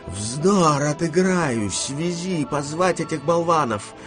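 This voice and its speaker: Man voicing an imperious old grandmother, raised pitch. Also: expressive, slow pace, music in the background. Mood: resolute, commanding. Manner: commanding, loud, irritable, exclamatory. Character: imperious, impatient old Russian grandmother, hot-tempered gambler. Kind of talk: dramatized audiobook reading.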